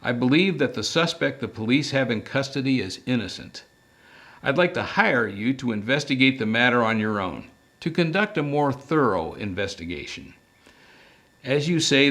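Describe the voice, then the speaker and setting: A man's voice: mid-range voice, a bit bright. A man voicing a priggish, anxious male English professor in a detective audiobook, speaking formally and wordily. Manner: formal, wordy, fussy.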